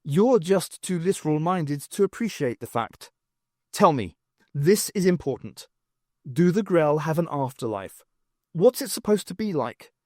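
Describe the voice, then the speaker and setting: A man takes the part of a quick-witted woman archaeologist in an audiobook. The delivery is wry and bossy, swinging widely in pitch. The recording is dry and close. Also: big pitch swings, warm tone, no echo. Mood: probing.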